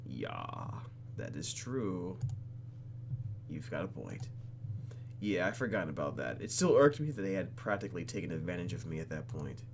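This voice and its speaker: A man's voice: sits low, very animated, normal speed, background noise. A man hosting a let's play, reading a game script aloud with joking, slangy asides.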